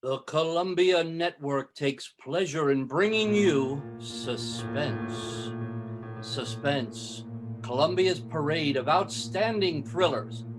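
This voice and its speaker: An actor playing a formal 1940s network radio announcer, measured, grave and showy, introducing a suspense series. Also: male voice, fairly high pitch, poor audio.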